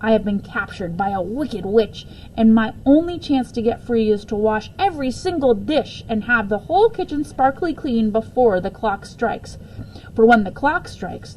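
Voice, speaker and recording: A woman's voice: mid-range voice, a little mellow, slight background noise, natural voice, poor audio. A woman voicing a kindly, playful woman who loves make-believe in a children's story, with a theatrical manner.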